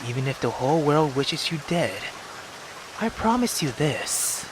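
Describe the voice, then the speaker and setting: A man reading the lines of a cruel, doting mother who scolds her daughter in a soft, low, menacing voice. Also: big pitch swings, unhurried, noisy background. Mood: coldly tender.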